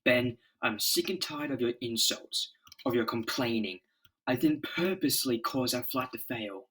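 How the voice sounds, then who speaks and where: A man's voice: medium pitch, expressive, unhurried, a bit bright. A man voicing an earnest, commanding scientist hero in a melodramatic comic-book reading. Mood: exasperated.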